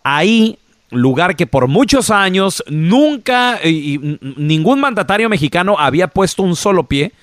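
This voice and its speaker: A man's voice: high voice, animated, moderate pace, a bit bright, no echo, clean studio sound. A man hosting a casual radio talk show, chatting with guests and retelling news in a conversational way. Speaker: radio and podcast host.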